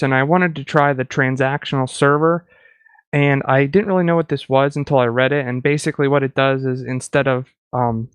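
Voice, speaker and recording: A man's voice: mid-range voice, clear, resonant tone, no echo, clean studio sound. A man co-hosting a podcast in casual tech chat, talking in a chatty, self-deprecating way. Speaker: podcast co-host.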